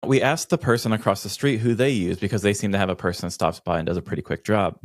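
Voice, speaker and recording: A man's voice: lowish voice, fast talker, dry recording, studio quality. An American man co-hosting a podcast, telling casual comedic stories with a dramatic, self-deprecating, complaining manner. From United States. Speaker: podcast co-host.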